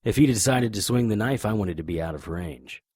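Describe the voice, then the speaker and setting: An actor playing a deadpan, hardboiled private investigator, narrating and speaking in a noir audio drama. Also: male voice, lowish voice, brisk, husky, dry recording, studio quality. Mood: deadpan, tense. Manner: deadpan, wisecracking.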